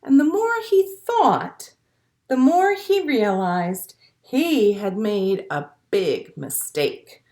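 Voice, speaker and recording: A woman's voice: medium pitch, sing-song, slow, darkish tone. A woman who hosts children's storytime, reading aloud expressively and speaking simply and encouragingly to small children. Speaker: children's storytime librarian and host. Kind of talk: reading a picture book aloud to young children, with songs and asides.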